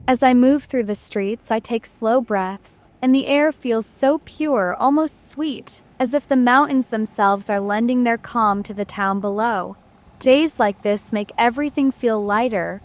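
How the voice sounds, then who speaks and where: Female travel narrator, slightly high voice, reflective scripted read with poetic, unhurried phrasing.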